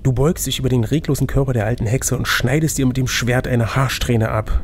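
A man's voice: mid-range voice, fairly even intonation, fairly quick, slight background noise. A man narrating an adventure gamebook as game master, switching between scripted reading and casual chat.

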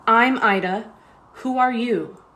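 A woman playing a high-voiced, anguished young mother. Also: takes it slowly, bright, forceful tone. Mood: astonished, moved. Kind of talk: student radio play acting.

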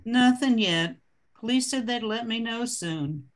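A woman's voice: on the high side, measured pace, natural voice. An older woman playing a homely, hospitable widowed grandmother in an amateur radio play. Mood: polite, caring.

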